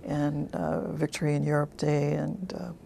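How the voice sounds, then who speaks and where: A woman who teaches French, a guest author speaking in a measured, hesitant way in an interview. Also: low-pitched, level tone, gravelly, dark tone, slight background noise.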